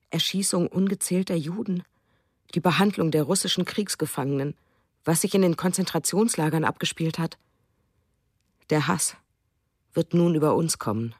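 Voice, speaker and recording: A woman's voice: low voice, darkish tone, slightly rough, dry recording, studio quality. A woman reading a reflective first-person narration for a radio drama. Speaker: reader in a radio documentary drama. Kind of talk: scripted narration.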